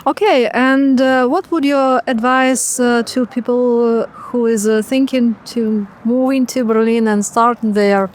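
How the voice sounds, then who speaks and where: A woman's voice: fairly high pitch, fairly even intonation, unhurried, few pauses, slight background noise. A young woman from Ukraine hosting an interview, speaking English as a with hesitant phrasing and fillers. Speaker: interviewer and channel host.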